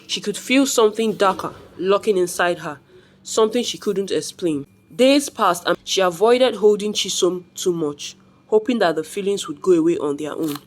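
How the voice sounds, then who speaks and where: A woman's voice: mid-range voice, moderate pace. Woman narrating a dramatic folktale. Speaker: storyteller.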